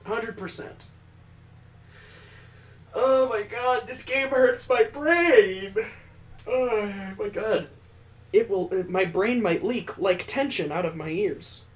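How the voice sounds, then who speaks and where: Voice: mid-range voice, slow pace, long pauses. A game streamer commenting sarcastically and with exasperation on a visual novel while reading it aloud.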